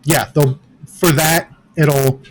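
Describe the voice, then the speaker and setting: A man's voice: fairly high pitch, fairly even intonation, slow pace. A man acting as game master at a tabletop game, explaining the rules patiently and methodically.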